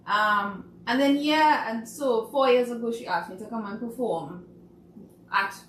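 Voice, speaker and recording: A woman with a Trinidadian accent, a guest on a talk show, telling stories in an animated way. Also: fairly high pitch, unhurried, a little hiss or hum. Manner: animated storytelling. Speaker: guest playwright. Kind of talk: casual chat. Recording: echoey room, distant mic.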